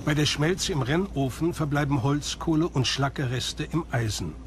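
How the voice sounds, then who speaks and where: A man's voice: on the high side, normal speed, background noise. A man reading scripted narration as the narrator of a documentary. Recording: music behind the voice. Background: background music.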